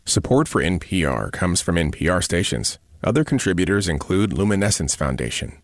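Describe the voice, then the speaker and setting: A man's voice: low voice, big pitch swings, a little fast, dry recording, clean studio sound. A man reading a scripted sponsor announcement.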